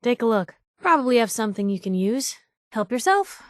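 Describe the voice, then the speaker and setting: A woman's voice: fairly high pitch, very animated, a little fast, no echo, studio quality. An actress playing a plucky young woman newspaper reporter in a video game, with a wry, teasing, conversational way of talking. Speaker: companion character voice actor.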